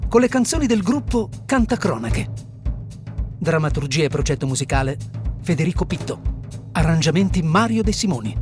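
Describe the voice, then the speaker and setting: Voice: medium pitch, sing-song, moderate pace. An announcer reading scripted credits for a radio play. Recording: music under the voice. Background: music with drums.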